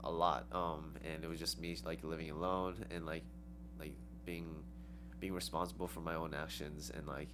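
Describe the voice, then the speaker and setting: A young woman dancer answers in loose, rambling sentences packed with "like" and "um" and broken up by giggles. Her voice sits very low, and a clear steady hum runs behind her. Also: moderate pace. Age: young adult. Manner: rambling, heavy on "like" and "um", with giggly laughs. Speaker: interview guest, dancer. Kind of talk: casual interview answers.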